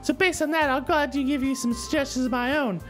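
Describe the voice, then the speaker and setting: A woman's voice: high-pitched, fairly even intonation, brisk. A woman voicing a snappy, indignant teenage schoolgirl in a visual novel, curt, sarcastic and defensive. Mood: scornful. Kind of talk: visual novel character dialogue read aloud. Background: soft background music.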